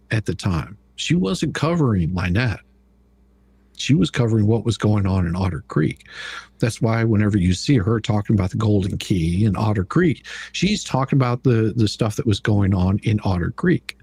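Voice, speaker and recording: A man with a husky, raspy voice hosting a live stream in a casual, folksy way, often repeating himself as he explains. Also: lowish voice, darkish tone, dry recording. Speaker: live stream host running the show.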